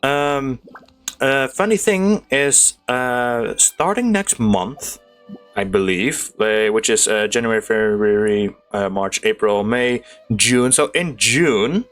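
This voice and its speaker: A man giving casual, excitable gameplay commentary, chatting to his viewers as a gaming YouTuber. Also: mid-range voice. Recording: game music behind voice. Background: video game music.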